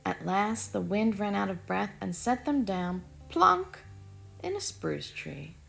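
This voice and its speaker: A woman's voice: mid-range voice, animated, slow, creaky, fairly bright tone, faint music behind. A woman from Texas, reading a children's story aloud expressively. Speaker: storybook reader. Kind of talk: children's read-aloud narration.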